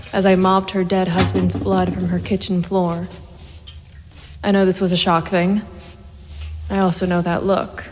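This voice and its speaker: A woman's voice: medium pitch, a little mellow, big-room sound, lightly acted. An actress playing a cold, methodical female private investigator and vigilante, narrating a noir audio drama in a hard-edged, matter-of-fact way. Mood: brooding. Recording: music bed behind the voice.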